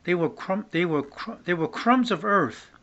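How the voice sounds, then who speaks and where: A man's voice: sits high, expressive, normal speed, a bit bright, lo-fi sound. An older man reading a children's novel aloud, stumbling on some words and restarting them. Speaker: grandfather reading along.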